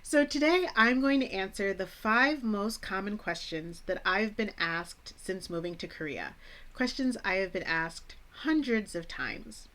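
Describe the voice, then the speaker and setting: A young American woman explains things to learners at an unhurried pace. She spells out each idea plainly, like a teacher, and slips in the odd playful aside. Her pitch sits in a typical middle range. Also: lively intonation, fairly bright tone. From American, Chicago suburbs. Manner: clear, deliberate teacher delivery with playful asides. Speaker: English teacher, channel host. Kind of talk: scripted educational vlog.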